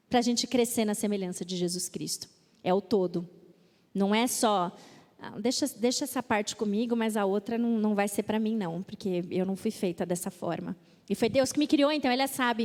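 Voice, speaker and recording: A Brazilian woman with a high voice preaches to a women's conference, moving between earnest teaching and playful mock excuses. Her words ring out with the long reverb of a church hall. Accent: Brazilian Portuguese. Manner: lively, reads verses with emphasis, mimics excuses playfully. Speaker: conference speaker. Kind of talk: women's conference talk on scripture. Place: church auditorium.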